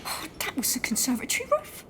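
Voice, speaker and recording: A woman playing a ruthless, vain, sharp-tongued schemer in an audio play. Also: high-pitched, huge pitch swings, a little hiss or hum, exaggerated voice. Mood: irritated, scheming. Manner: brisk, sharp-tongued, dismissive.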